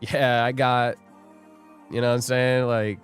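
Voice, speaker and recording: A man's voice: mid-range voice, little pitch movement, unhurried, faint music behind. A young man hosting a live trading stream, joking and rambling as he reads viewer comments aloud. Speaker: livestream day trader host. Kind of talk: casual livestream chat.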